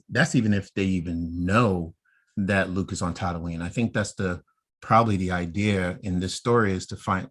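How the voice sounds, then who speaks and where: Deep-voiced American man hosting a podcast. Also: a bit bright. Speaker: podcast host. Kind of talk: conversational podcast discussion.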